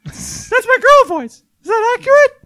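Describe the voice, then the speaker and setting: A man putting on a falsetto voice to play a sassy young woman on trial. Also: big pitch swings, moderate pace, sharp tone, no echo, full character voice.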